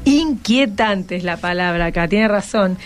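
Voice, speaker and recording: A woman's voice: medium pitch, animated, unhurried, faint music behind. A woman with a Rioplatense Argentine accent hosting a radio show, chatting casually and playfully with encouraging tag questions. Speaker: radio host. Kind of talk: casual interview with schoolchildren.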